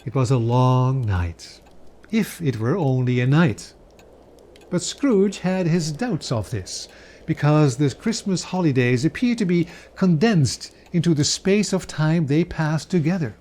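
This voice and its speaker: Slow, theatrical male story narrator. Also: on the high side, lively intonation, a little mellow. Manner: measured, deliberate, theatrical pauses. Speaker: narrator, reader. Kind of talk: dramatic literary reading, scripted narration.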